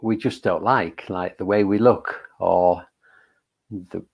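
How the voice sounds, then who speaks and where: A man with a very deep voice leading a meditation, giving a gentle, halting Buddhist talk full of pauses and fillers. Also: fairly even intonation, creaky, breathy edge, muffled recording. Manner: gentle, halting, thinking aloud with many fillers. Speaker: meditation leader. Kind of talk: reflective Buddhist dharma talk.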